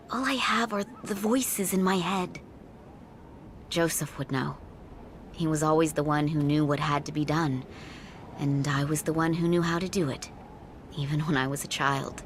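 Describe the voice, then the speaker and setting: An actress playing a grave, fatalistic middle-aged mother in a video game, with a solemn, resigned, deadpan manner. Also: low-pitched, moderate pace, slight background noise, lightly acted. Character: grave, fatalistic mother, a seer who hears voices. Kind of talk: video game cutscene dialogue.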